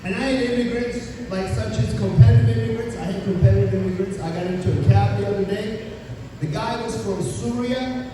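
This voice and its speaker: Brief: high-voiced Turkish-accented man, stand-up set, brash and profane, distant and echoey with noise. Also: fairly quick. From Turkey. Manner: brash, profane, punchy delivery. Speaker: stand-up comedian. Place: comedy club stage. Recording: echoey club from far off with noise.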